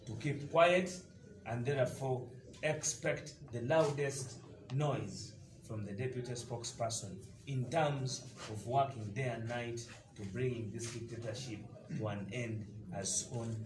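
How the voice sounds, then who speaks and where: A man's voice: mid-range voice, a little slow, distant mic. A man from eastern Uganda with a Ugandan accent, a party deputy spokesperson giving an emphatic, combative press conference statement with frequent hesitations. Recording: echoey room picked up from afar, noisy.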